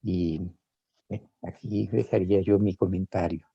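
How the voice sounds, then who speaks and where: Older male psychoanalyst, hesitant testimony, dark low voice, dry lo-fi sound. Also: fairly even intonation, measured pace, creaky, dry recording. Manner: hesitant, many fillers and restarts. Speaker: psychoanalyst sharing personal experience. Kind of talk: reflective spoken testimony. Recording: dull lo-fi sound.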